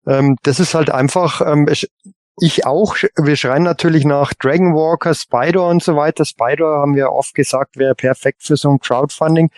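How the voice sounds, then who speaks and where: A man on a casual podcast panel, reasoning in a halting way and often repeating his words. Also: a little high, fairly even intonation, darkish tone, no room sound.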